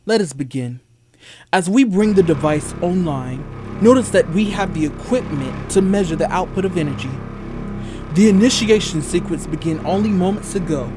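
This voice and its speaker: A man playing an ambitious, volatile scientist in an old-time radio drama, speaking in a formal, theatrical, grandiose way. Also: high voice.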